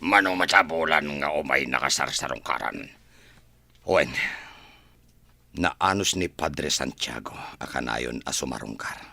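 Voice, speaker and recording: A man with an Ilocano accent playing a bitter imprisoned son in a radio drama, with a gravelly voice. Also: a bass voice, animated, unhurried, long pauses, bright, forceful tone, no echo, exaggerated voice. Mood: earnest, reflective.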